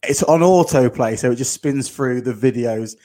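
A man's voice: mid-range voice, normal speed, dark tone. A young man hosting a podcast, asking questions in a chatty, frank way. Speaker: podcast host. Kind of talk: casual interview chat.

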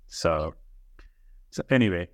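A man's voice: deep voice, animated, takes it slowly, dry recording, clean studio sound. A man from India with an Indian accent, talking conversationally and reflectively as a podcast co-host. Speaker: co-host, engineering professor.

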